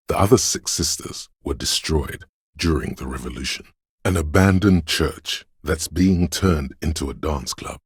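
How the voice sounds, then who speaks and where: Game narrator, an omniscient, brooding inner voice; bottomless bass register, close dry sound, gravelly, slow and solemn. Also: male voice, dry recording, full character voice. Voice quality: very deep, gravelly husky. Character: brooding, all-seeing inner voice sensing a wintry city.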